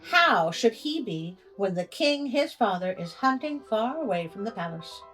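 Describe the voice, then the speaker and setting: A woman's voice: medium pitch, sing-song, moderate pace, a bit bright, soft background music, rough recording. A woman playing an anxious, loving storybook queen who protects her son, earnest and old-fashioned in phrasing. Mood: guarded.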